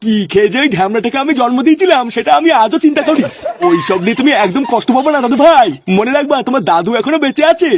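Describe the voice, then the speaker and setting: A man voicing a crabby, vain old grandfather in a comedy cartoon, husky and animated, given to cursing grumbles. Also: extremely high pitch, rapid-fire, a little hiss or hum. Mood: doting, reassuring.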